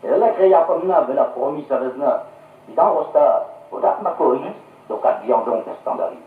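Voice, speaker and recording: A man with a Savoyard accent giving an animated, theatrical comic recitation in local dialect, on a 1950s radio recording. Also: on the high side, moderate pace, distant mic, slight background noise. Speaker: patois reciter. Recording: echoey, narrow 1950s radio archive.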